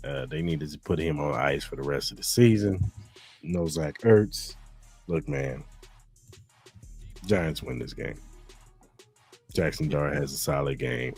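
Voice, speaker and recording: A man's voice: very deep voice, darkish tone, breathy edge, soft background music. A man co-hosting a podcast, laying out game picks in short, punchy lines. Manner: brisk, punchy game-by-game predictions. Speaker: podcast co-host. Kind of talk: casual sports talk.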